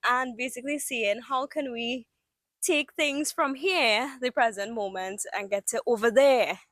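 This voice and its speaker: A woman's voice: fairly high pitch, measured pace, a bit bright, no room sound, clean studio sound. A woman from Trinidad and Tobago, co-hosting a podcast in a reflective, easygoing way and laughing easily. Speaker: podcast co-host. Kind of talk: casual chat.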